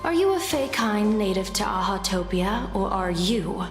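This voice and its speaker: A woman's voice: mid-range voice, expressive, moderate pace, natural voice. Woman acting a composed seer in an echoing hall. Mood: probing, assertive. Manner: articulate, formal, probing. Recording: echoey hall with music behind.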